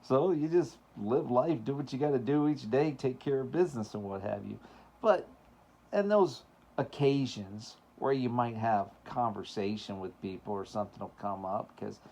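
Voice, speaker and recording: A man's voice: mid-range voice, normal speed, darkish tone. A middle-aged man hosting his own show, talking in a rambling, casual monologue full of fillers and laughs, his voice cracking at times. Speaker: show host.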